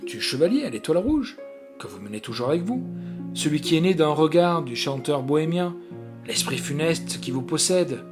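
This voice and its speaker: A man acts out a young chatelaine from a gothic storybook, proud and coquettish. The speech is formal, courtly and reproachful, over clear guitar music. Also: on the high side, very animated, normal speed. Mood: stern, reproachful.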